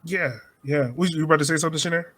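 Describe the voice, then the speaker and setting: A man's voice: a little high, steady tone, racing, a bit bright. A man co-hosting a podcast, giving casual sports analysis in a conversational way. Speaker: podcast co-host.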